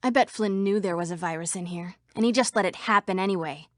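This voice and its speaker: A young woman voicing an earnest female computer program citizen in a video game, with crisp, dramatic delivery. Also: medium pitch, fast, fairly bright tone, no room sound, clean studio sound, lightly acted. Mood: measured.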